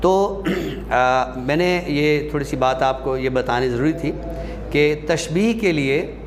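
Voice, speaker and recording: A man giving an explanatory classroom lecture, deliberate and pausing to find his words. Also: a little high. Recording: long hall reverb, faint background noise.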